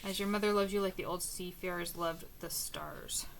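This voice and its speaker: A woman reading aloud from book notes in a casual, musing way, with many ums and uhs, often trailing off softly. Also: lowish voice, steady tone, moderate pace, vocal fry, slight background noise, low-quality recording. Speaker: book reader and annotator.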